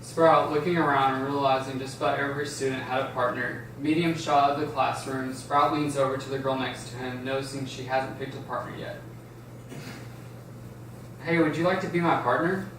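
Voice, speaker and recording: A man's voice: medium pitch, level tone, fairly quick, hardly any pauses. A man narrating stage directions at a script table read, recorded in an echoey room from a distance. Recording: echoey room, distant mic, faint noise.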